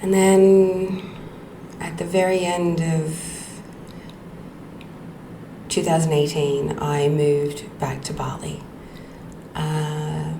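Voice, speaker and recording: A middle-aged woman hosting a podcast, telling her life story slowly and reflectively, with frequent ums and soft laughs. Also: sits low. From Australia. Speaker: podcast host. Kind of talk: personal storytelling monologue. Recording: echoey room with faint background noise.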